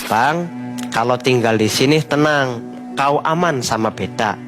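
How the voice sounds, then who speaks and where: A man putting on the loud, warm voice of a Maluku island man welcoming a guest, with a Maluku accent. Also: measured pace, natural voice. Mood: reassuring. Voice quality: loud, high and lively. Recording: music playing behind.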